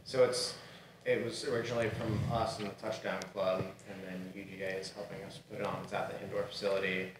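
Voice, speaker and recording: A man's voice: lowish voice, level tone, fast. A man hosting a podcast, explaining event details matter-of-factly from off-mic. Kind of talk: casual chat. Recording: echoey, off-mic, noisy.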